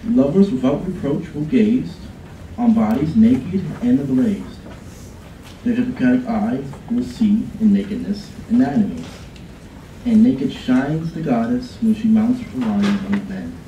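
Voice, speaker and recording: A man reads a poem at a podium in a college event room, slow and deliberate with a very dark, mellow tone. The sound is echoey and picked up from some distance, with faint room noise behind him. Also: mid-range voice, lively intonation, lo-fi sound. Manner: measured, deliberate recitation. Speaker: student contestant. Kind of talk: dramatic poetry reading. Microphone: podium mic. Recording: echoey room, far from mic.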